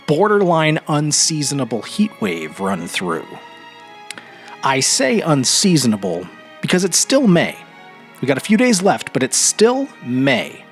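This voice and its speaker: An American man hosting a podcast solo, talking casually in an informal, rambling way and often interrupting himself. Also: fairly high pitch. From Vermont, New England. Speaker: podcast host.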